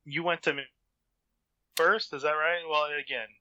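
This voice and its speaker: A man's voice: fairly high pitch, fairly even intonation, moderate pace, sharp tone, no echo, highs cut off. A man chatting casually on a podcast with wry, self-deprecating humor. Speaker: podcast guest, author.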